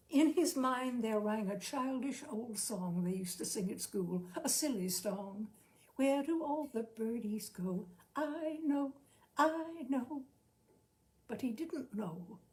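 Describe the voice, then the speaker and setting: A woman narrating literary fiction expressively, with dramatic, deliberate phrasing and lingering pauses. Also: fairly high pitch, mellow. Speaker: narrator, story reader.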